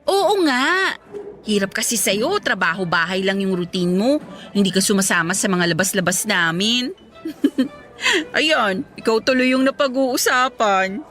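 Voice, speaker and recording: A woman's voice: medium pitch, animated, measured pace, a bit bright, faint music behind. An actress playing a chatty, teasing woman in her twenties in a radio drama. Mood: gossipy, teasing. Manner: chatty, teasing, casual conversational. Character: playful teasing young female office mate, age twenty-three. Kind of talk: Tagalog radio drama dialogue.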